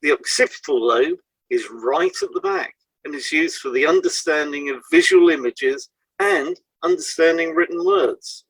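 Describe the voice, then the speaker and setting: A man presenting a scripted educational podcast in a measured, explanatory way, setting out items one by one. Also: on the high side, sing-song, vocal fry, a bit bright, breathy edge, no echo, poor audio.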